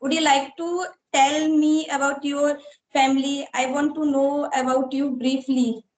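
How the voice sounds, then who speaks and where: High-voiced Indian woman, halting English, echoey room. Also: fairly even intonation, recorded from a distance. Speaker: interviewer, YouTube host. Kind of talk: casual conversational interview. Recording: roomy, echoey sound.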